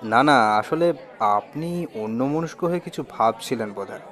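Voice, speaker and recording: A man doing a teasing, deep-voiced film director. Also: lively intonation, moderate pace, fairly bright tone, some chatter behind, natural voice. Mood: amused. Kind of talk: audio drama narration.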